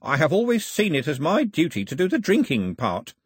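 A man performs a storybook's old toothless holy man, grandly superstitious and sly. The voice is husky and lifted high, swooping as he declaims and gloats. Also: huge pitch swings, fairly quick, no room sound, studio quality, exaggerated voice. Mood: mournful, pious.

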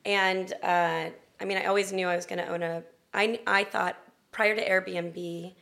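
A middle-aged American woman telling her story casually as an interview guest, chatty and halting as she gathers her thoughts. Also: mid-range voice, fairly even intonation, gravelly, fairly bright tone. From Florida and Texas, then Long Beach, California. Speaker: interview guest, restaurant owner.

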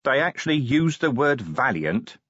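A man voicing a grumpy, sardonic police commander in an audiobook, with a gruff, dry, sarcastic manner. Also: medium pitch, sing-song, moderate pace, a bit bright, highs cut off, voice pushed up. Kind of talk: audiobook character voice.

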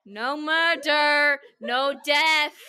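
A hoarse woman hosting a chaotic casual podcast with friends, giggling often. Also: high-pitched, drawn-out words, sharp tone. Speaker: podcast host.

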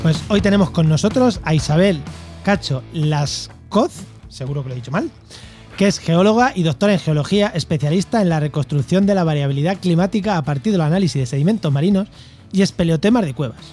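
A man's voice: a little high, expressive, fairly quick, mellow, soft background music. A man hosting a podcast, talking in a chatty, joking, self-deprecating way. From Castilla-La Mancha, Spain. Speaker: podcast host. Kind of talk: casual podcast chat.

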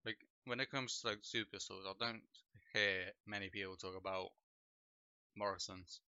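A man's voice: fairly low pitch, normal speed, smooth, dry recording. A young man from Poland, whose first language is Polish, chatting loosely on his livestream and padding his talk with "like" and "bro". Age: young adult. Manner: relaxed, rambling, heavy with fillers like "like" and "bro". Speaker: streamer and podcast host. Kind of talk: casual chat on a livestream. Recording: dull lo-fi home mic sound.

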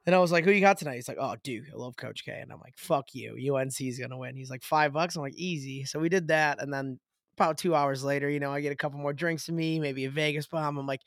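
A raspy-voiced American man co-hosting a casual sports podcast, telling stories in a jokey, profane way. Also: medium pitch, fairly even intonation, quick pace, no room sound, clean studio sound.

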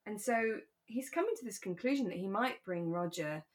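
A British woman co-hosting a podcast in a relaxed, chatty and reflective discussion of a radio drama. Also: medium pitch, normal speed. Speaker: podcast co-host.

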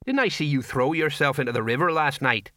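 A man's voice: mid-range voice, animated, moderate pace, sharp tone, no room sound, clean studio sound. Man putting on a ponderous, officious police sergeant. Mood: baffled, uncertain. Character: big red-faced self-important slow-witted police sergeant.

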